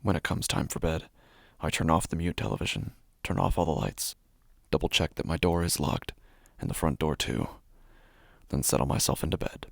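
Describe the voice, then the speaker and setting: A deep, creaky-voiced male actor as an uneasy city dweller tells a horror story in the first person. He speaks in hushed, measured phrases, placing each one with care, as in an audio fiction podcast. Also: expressive, no echo, studio quality, exaggerated voice. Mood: tense, subdued. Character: uneasy city dweller recounting a creepy homecoming.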